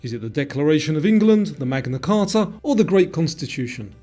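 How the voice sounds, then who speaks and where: Higher-pitched male quiz narrator, lively intonation. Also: fairly quick. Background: music.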